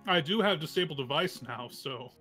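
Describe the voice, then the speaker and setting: Man, fairly high voice, animated, casual gamer banter, stumbling restarts, faint music. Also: normal speed. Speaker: tabletop game player. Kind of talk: casual joking table chat.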